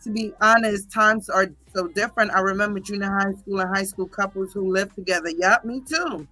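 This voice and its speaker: Sassy, animated middle-aged New woman. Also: medium pitch, faint music behind. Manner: animated, sassy, slangy, drawn-out emphatic words. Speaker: livestream host reading viewer comments. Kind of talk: casual chatty storytelling.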